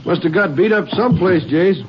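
An actor playing a folksy, inquisitive sheriff sidekick with a plainspoken drawl in a 1950s radio western. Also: male voice, high-pitched, fairly quick, close to an everyday voice. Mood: curious, emphatic. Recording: very noisy, muffled old radio recording.

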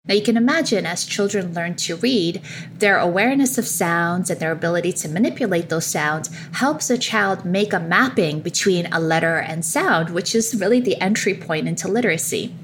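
A woman researcher explains her work in a relaxed, fluent flow, leading into points with "now" and the odd filler. Her voice is slightly low, with faint background hiss. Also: moderate pace. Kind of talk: explanatory interview answers.

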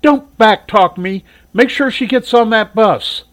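A man voicing a shrill, demanding middle-aged mother who yells orders at her kids. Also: animated, normal speed. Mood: shrill, bossy. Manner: yelling, bossy.

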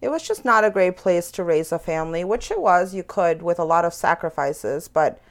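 Low, gravelly-voiced woman guest. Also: moderate pace. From Germany. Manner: relaxed, thoughtful storytelling. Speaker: podcast guest. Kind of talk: casual conversation.

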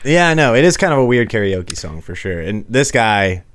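Man, low voice, podcast co-host, laid-back, laughs a lot, says dude. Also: big pitch swings, moderate pace, fairly bright tone, no room sound, studio quality. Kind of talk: casual chat.

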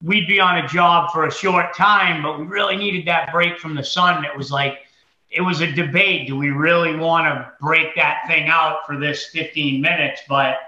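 A man's voice: a little high, steady tone, normal speed, pushed and bright. A man with a Massachusetts accent telling his story casually as an interview guest, in a colloquial, folksy way. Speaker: entrepreneur guest. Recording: dull lo-fi, roomy echo.

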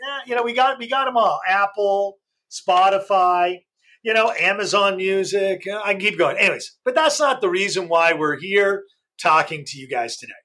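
A man's voice: sits high, lively intonation, moderate pace. A man hosting a live podcast in a casual, chatty way, saying he has a big voice. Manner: chatty, many fillers, loud self-described big voice. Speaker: host.

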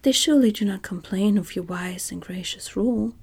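A woman voicing a dignified, earnest tin man emperor in a soft whisper. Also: mid-range voice, a little fast, creaky, breathy edge.

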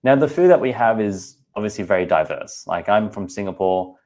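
A man in his thirties with an Australian accent and a low-pitched voice. He hosts a podcast in a casual, candid way and speaks directly to his listeners. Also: animated, a little fast, darkish tone. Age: 30s. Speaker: podcast host and personal trainer.

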